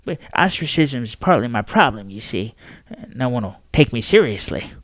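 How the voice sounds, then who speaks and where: A man's voice: medium pitch, sing-song, normal speed, dry recording, studio quality. A man voicing a nervous old male astronomer who stammers and hesitates. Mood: earnest.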